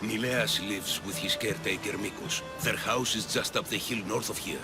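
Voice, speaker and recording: A man's voice: fairly low pitch, normal speed, music in the background, close to an everyday voice. An actor playing a frank, disapproving man who gives out a task in a video game cutscene. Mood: frank, concerned.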